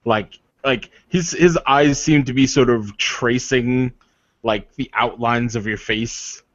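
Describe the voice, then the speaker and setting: Man narrating a game in echoey room. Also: medium pitch. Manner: halting, colloquial, filled with "like". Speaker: game master. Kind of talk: improvised tabletop game narration. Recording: muffled, echoey room recording.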